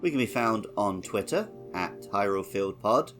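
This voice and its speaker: A man's voice: lowish voice, expressive, moderate pace, fairly bright tone, faint music behind. A man co-hosting a casual video game podcast in a chatty, tongue-in-cheek way. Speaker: podcast co-host.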